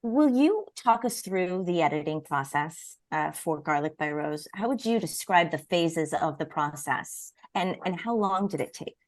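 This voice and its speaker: A woman's voice: fairly low pitch, lively intonation, unhurried, few pauses, no echo. A woman co-hosting a conversational interview and putting questions to a guest. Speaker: interviewer, co-host.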